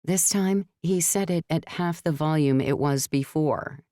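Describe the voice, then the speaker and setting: A woman reading scripted narration for a novel in a measured storytelling manner. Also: low voice, warm tone, dry recording, studio quality. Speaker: audiobook narrator.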